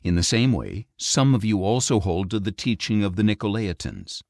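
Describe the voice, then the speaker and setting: Man, low-pitched voice, expressive rise and fall, solemn scripture narration, measured delivery, dry close sound. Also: no echo. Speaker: audiobook narrator reading scripture. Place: dry recording studio.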